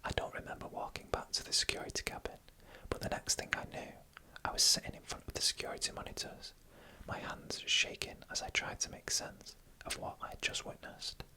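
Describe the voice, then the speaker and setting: A narrator whispering eerie first-person stories in ASMR style, in a hushed, deliberate, suspenseful manner. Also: rough recording.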